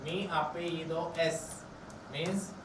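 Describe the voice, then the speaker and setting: A man teaches a class, stretching his words and repeating each phrase at an unhurried pace. His voice sits a little high. He is heard from far off in an echoey room, with steady noise behind him. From India. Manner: slow, repetitive explaining, drawn-out words. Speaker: Spanish teacher. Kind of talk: classroom lesson.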